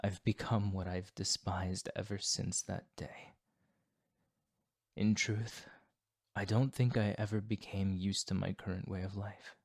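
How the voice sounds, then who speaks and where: Guy doing a sad solemn vampire asmr, really deep voice, slow and measured, fighting bloodlust. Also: steady tone, no echo, clean studio sound. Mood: self-loathing. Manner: solemn, formal, measured, hushed. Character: brooding, remorseful male vampire fighting his bloodlust. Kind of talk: intimate ASMR roleplay monologue.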